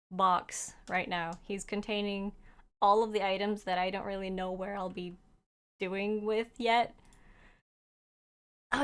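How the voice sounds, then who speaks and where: A young woman who speaks Norwegian as her first language chats casually with viewers while playing, reading their messages aloud and laughing often. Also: mid-range voice. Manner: chatty and giggly, laughs often; talks to chat while playing. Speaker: game streamer.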